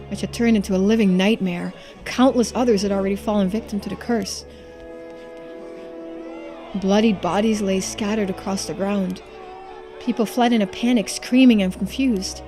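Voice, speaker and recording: Woman streamer reading story game narration, dramatic scripted delivery, soft music behind. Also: medium pitch.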